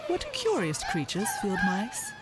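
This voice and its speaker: A woman narrating a classic storybook tale in a measured, literary way. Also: medium pitch, wildly swooping pitch, very noisy. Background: music.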